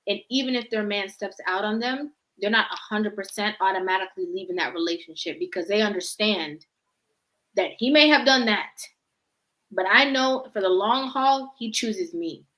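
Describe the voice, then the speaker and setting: A woman's voice: mid-range voice, moderate pace, a bit bright, rough recording. A woman hosting a casual live chat, talking freely and backing up her guest with "right" and "mm-hmm.". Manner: chatty, affirming with "right" and "mm-hmm". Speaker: host.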